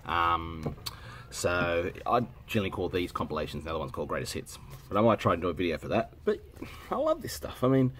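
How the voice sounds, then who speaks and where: Deep-voiced Australian man, stuffy with a cold. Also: sing-song, brisk, a little hiss or hum. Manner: rambling, self-correcting, frequent ums and throat clearing. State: congested with a head cold. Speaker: vinyl collecting vlogger. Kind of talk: casual rambling chat to camera. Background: music playing softly.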